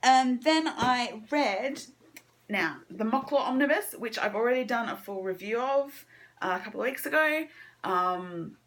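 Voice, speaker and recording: A woman's voice: fairly high pitch, expressive, unhurried, a bit bright. A woman chatting casually as a book reviewer, enthusiastic but hesitant, rambling as she goes. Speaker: book reviewer on own video channel. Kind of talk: casual chat to camera, monthly reading wrap-up.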